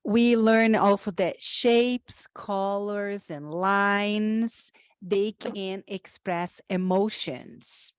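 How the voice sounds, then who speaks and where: A woman's voice: mid-range voice, very slow. A woman host wrapping up an educational session and saying goodbye to the group. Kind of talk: educational wrap-up.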